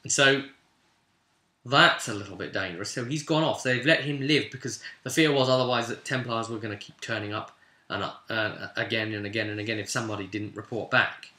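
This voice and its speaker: A man acting as game master, recounting a tabletop fantasy game session informally like an animated storyteller speaking straight to his listeners. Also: on the low side, fairly quick. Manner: animated storyteller, voicing characters' lines and addressing listeners directly. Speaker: game master and host of a tabletop roleplay recap series. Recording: echoey, roomy sound.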